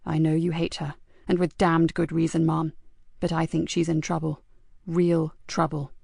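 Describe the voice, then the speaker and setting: A woman narrator takes the part of a kindly male detective sergeant in a crime audiobook. He is calm and steady, earnest and reassuring. The sound is very dry. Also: sits low, normal speed, creaky, breathy edge, dry recording, pitched below the performer's own voice, heavily acted. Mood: earnest, subdued.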